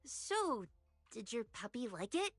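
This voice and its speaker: An eager young woman shopkeeper from an anime game. Her voice is high and very lively in pitch, with a polite, apologetic customer-service manner. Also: slow pace, slightly rough, no room sound, exaggerated voice.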